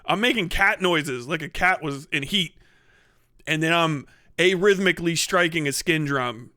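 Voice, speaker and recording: A man voicing a bogus soundtrack singer, matter-of-fact and profane, confessing his trade in a deadpan comic skit. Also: on the high side, unhurried, dry recording, studio quality. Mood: deadpan confession. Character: blunt bogus male ancient-Greek soundtrack singer confessing.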